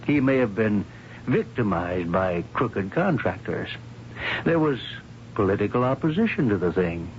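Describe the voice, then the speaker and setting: A man's voice: fairly low pitch, sing-song, a little slow. An actor playing a genial, proud, middle-aged businessman in a radio drama, affable and assured. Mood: proud, reassuring. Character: genial, proud businessman boss, father of a graduate. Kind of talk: 1970s radio drama acting. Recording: muffled, slightly noisy 1970s radio broadcast.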